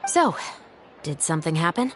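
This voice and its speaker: A woman's voice: low voice, very animated, normal speed, very noisy. An actress playing a dutiful, weary woman patrol captain in a video game, speaking in a dry, composed, wry way. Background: game music.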